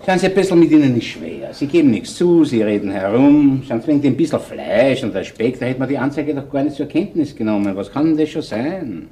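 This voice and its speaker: A man plays a shrewd, world-weary police inspector with a Viennese accent in a radio crime drama. His voice is mellow and mid-pitched, swinging widely in intonation as he dryly placates and probes. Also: lively intonation, close to an everyday voice. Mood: patient, probing. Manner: dry, unhurried, placating.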